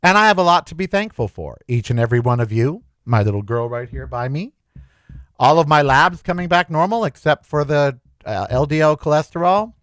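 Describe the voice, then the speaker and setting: A man hosting a solo podcast, talking in a chatty, anxious, animated way. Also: fairly high pitch, fairly quick, no echo. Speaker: podcast host. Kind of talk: casual solo podcast monologue.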